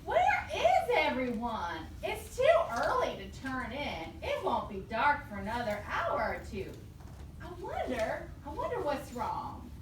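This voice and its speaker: A cheerful, reassuring little animal from a puppet show, played by a woman, eager and excitable. The delivery is slow and very lively, heard from across an echoey room. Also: sits high, exaggerated voice. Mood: puzzled. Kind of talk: live puppet show acting. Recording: distant roomy live recording, faint noise.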